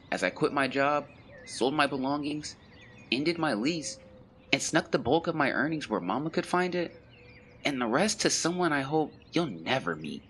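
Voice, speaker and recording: A solemn young man of the 1920s, played by a male actor, carries grief and shame in a confessional audio-drama monologue. His mid-pitched voice rises and falls expressively. Outdoor ambience with birdsong is clearly audible behind his literary, measured words. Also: bright, forceful tone, a little hiss or hum. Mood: sorrowful, resolute.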